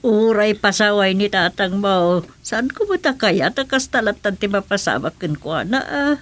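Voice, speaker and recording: A woman's voice: medium pitch, expressive, moderate pace, a bit bright, lightly acted. Woman acting an anxious, sorrowful older mother. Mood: worried grief. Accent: Ilocano. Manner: plaintive. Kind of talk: radio drama acting.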